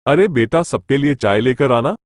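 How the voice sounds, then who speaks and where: A man's voice: mid-range voice, expressive, normal speed, no room sound, clean studio sound, lightly acted. A man voicing a mild, caring father in a Hindi moral story cartoon. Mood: mild, welcoming.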